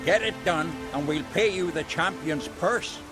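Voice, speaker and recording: A man's voice: fairly high pitch, measured pace, over music, lightly acted. An actor playing a proud, wary male villager in a video game who talks colloquially, like a practiced storyteller. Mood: wary, haggling. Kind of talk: video game cutscene acting.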